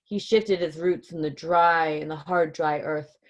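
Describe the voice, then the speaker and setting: A woman's voice: sits low, steady tone, measured pace, noisy background. Woman narrating a children's story. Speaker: story reader.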